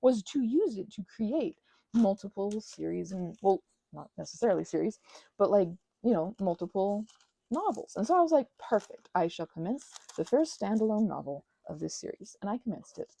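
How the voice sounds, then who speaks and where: A woman chatting casually in a rambling, digressive way to her audience, animated and poking fun at herself. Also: medium pitch, normal speed, gravelly, no echo. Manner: animated, self-mocking, digressive. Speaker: hobbyist creator talking to her audience. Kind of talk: casual rambling chat, ASMR channel.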